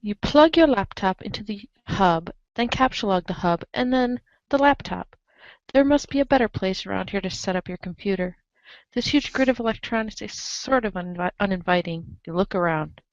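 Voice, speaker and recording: A woman's voice: medium pitch, moderate pace, no room sound. A woman reading scripted narration aloud as the narrator of a group reading. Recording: dull lo-fi audio.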